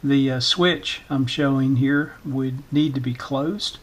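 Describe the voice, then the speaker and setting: A man giving an informal hands-on demonstration in short, halting, hesitant phrases, talking at a workbench indoors. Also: medium pitch, fairly even intonation. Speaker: electronics repair channel host, demonstrator.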